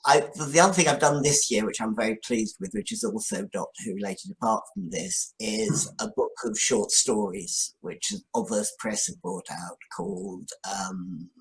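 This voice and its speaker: An older man, a writer being interviewed, talking casually in a halting, modest way. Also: on the low side, expressive, vocal fry, poor audio.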